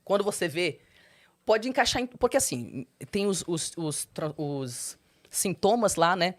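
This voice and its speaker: A young adult woman, a guest in an interview, candidly telling her personal story and breaking into high, animated quotes. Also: a little slow. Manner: expressive, swings into high animated quoting.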